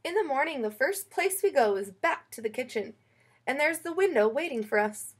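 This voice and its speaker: A woman reading a children's picture book aloud in an expressive, storytelling way. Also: high-pitched, moderate pace. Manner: expressive, acts out quoted lines.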